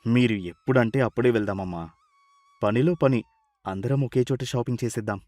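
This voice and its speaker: A man's voice: medium pitch, brisk, a little mellow, no echo, soft background music, lightly acted. Man acting hospitable family man, dry recording. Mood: welcoming. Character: agreeable middle-aged family man, hospitable. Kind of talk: acted storybook dialogue.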